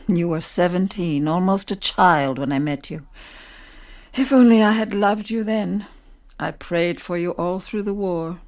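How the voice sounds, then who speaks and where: A weary, long-suffering wife and mother played by a woman in a radio drama. She has a low voice and an earnest, subdued way of speaking. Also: measured pace, darkish tone. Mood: pleading, earnest. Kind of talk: radio play acting.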